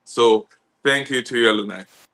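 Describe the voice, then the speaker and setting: Man, chocolatier guest. Slow and halting, lots of fillers, bright tone. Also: mid-range voice. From Tunisia. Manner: halting, many fillers, upbeat. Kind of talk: casual live-stream introduction.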